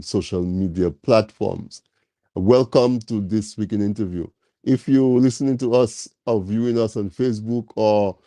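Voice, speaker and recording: A man hosting a live talk program, slightly nasal from a cold, speaking slowly with many fillers as he welcomes his audience. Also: on the low side, dry recording, clean studio sound. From Caribbean. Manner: slow, warm welcoming address with many fillers. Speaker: talk show host.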